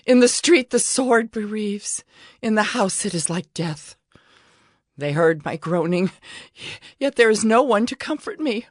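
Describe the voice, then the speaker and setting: A woman's voice: medium pitch, expressive, a little slow, no echo, clean studio sound. A woman playing a ravaged city personified as a grieving, humbled woman, in an anguished, pleading lament for a dramatized scripture reading. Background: music behind the voice.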